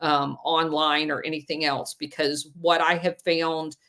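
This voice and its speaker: A woman's voice: deep voice, little pitch movement, measured pace, a bit bright. A woman hosting a call-in podcast, giving plain-spoken advice with frequent ums. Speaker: podcast host.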